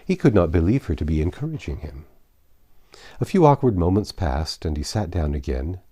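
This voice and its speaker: A man reads classic fiction as a narrator, in a very low, mellow voice. His delivery is expressive, with lively rises and falls through the scripted prose. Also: normal speed, husky. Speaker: audiobook narrator.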